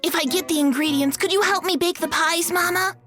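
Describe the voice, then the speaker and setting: A woman voicing an earnest, excitable young boy iguana in a children's storybook, exclaiming his lines emphatically. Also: very high voice, fairly quick, faint music behind. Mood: eager.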